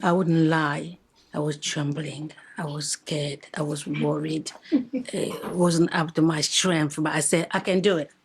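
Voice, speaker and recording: A woman from DR Congo, a hair braider, talking in an interview, animated and often laughing. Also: low-pitched, measured pace, slightly rough.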